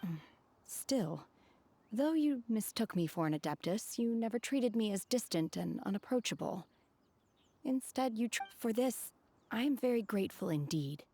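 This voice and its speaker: An actress playing a reserved, solemn young woman in a video game, speaking in a calm, formal, measured way. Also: a little high. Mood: quietly grateful. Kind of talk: video game character acting.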